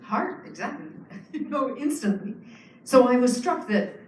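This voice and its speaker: A woman's voice: on the high side, animated, measured pace, darkish tone, recorded from a distance, slight background noise. A woman giving a public lecture, explaining earnestly with frequent fillers and restarts. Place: lecture hall. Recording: echoey hall, speaker off-mic.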